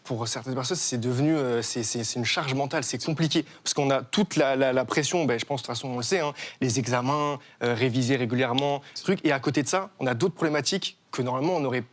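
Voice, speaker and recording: A man's voice: mid-range voice, quick pace, hardly any pauses. A young man, guest on a TV talk show, explaining his charity with many fillers and restarts. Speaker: guest, association co-founder. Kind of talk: TV studio interview.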